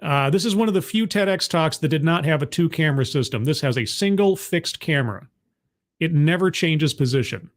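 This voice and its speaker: Man, sarcastic commentary host, slightly high voice, exasperated interruptions. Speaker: commentary host reacting to a talk.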